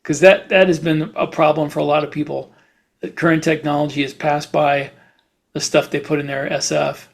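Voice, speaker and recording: Middle-aged man with a slightly high voice. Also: little pitch movement, moderate pace, vocal fry. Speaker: podcast co-host, science fiction author. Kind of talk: casual chat.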